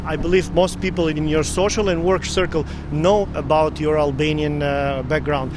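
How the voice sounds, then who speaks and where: A man's voice: a little high, flat delivery, moderate pace. Hesitant male interviewer, noisy background. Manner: hesitant, many filler sounds. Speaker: interviewer, TV host. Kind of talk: formal interview questions.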